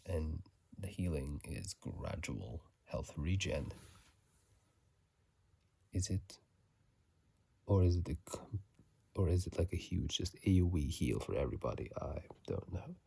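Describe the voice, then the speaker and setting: A man doing whispered ASMR commentary on a video game, soft and breathy, musing in a halting, casual way, close to a phone mic. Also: low voice, no echo. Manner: halting, musing, unhurried delivery. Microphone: close phone microphone.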